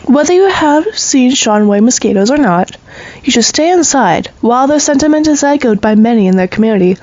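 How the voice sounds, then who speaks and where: A woman's voice: on the high side, expressive, a little fast, a little mellow, no echo, lo-fi sound, lightly acted. A woman playing a radio news anchor, reading serious headlines in a formal newsreader delivery. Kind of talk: scripted radio news report.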